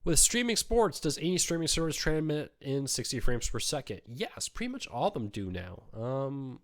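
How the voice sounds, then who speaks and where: A man hosting a casual live question-and-answer stream, talking in a relaxed, chatty way with lots of ums, his voice weak from a cold. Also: mid-range voice, normal speed. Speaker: host answering viewer questions.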